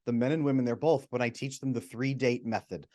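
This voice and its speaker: A man, a retired psychotherapist, speaking as a podcast guest and explaining at length, often acting out quoted people with sudden jumps up in pitch. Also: steady tone, brisk, a little mellow. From America. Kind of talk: animated explanatory interview talk.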